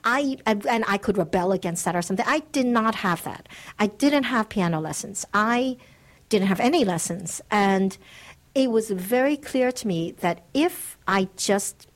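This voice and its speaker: A woman's voice: mid-range voice, lively intonation, moderate pace. A middle-aged woman from the United States, a guest author, answering interview questions in an earnest, reflective way with light laughs.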